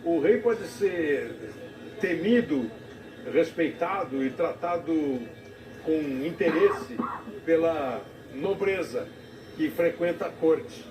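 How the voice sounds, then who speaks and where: Male tv commentator, halting and reflective, sounds far off in an echoey room with noise behind. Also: a little high, expressive, darkish tone. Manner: reflective, halting, with many restarts. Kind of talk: live broadcast commentary. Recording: TV audio recorded off the air from a distance in an echoey room, noisy.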